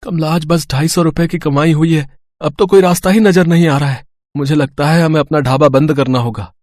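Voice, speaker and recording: A man's voice: fairly high pitch, brisk, a little mellow, no echo, lightly acted. Guy playing poor dhaba owner in a moral story, plaintive and earnest, dry close sound. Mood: worried. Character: poor, worried, kind-hearted male roadside eatery owner. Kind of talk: dramatised moral story acting.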